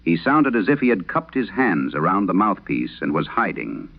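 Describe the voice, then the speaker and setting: A man voicing an eighty-one-year-old former telephone operator recounting her past in a measured, grave, literary way. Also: sits low, sing-song, vocal fry, dry recording, full character voice. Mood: uneasy, hushed. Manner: measured, grave, literary first-person storytelling. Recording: muffled band-limited old radio sound.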